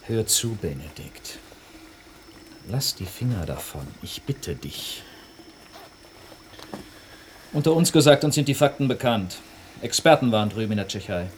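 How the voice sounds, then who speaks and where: Brusque official, swinging intonation. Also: male voice, lowish voice, very animated, normal speed, noisy background. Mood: urgent pleading. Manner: brusque, dismissive. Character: evasive male forestry official, dismissive, patronizing, quietly threatening. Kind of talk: German radio play acting.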